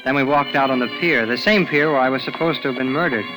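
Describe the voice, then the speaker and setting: A man's voice: mid-range voice, fast, close to an everyday voice, rough recording. A young man playing a nervous, evasive teenage boy who narrates his story in 1940s radio drama style, earnest and boyish with a hesitant delivery. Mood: earnest, uneasy. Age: young adult. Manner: boyish, earnest, hesitant stammering under pressure. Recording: vintage 1940s radio recording with music.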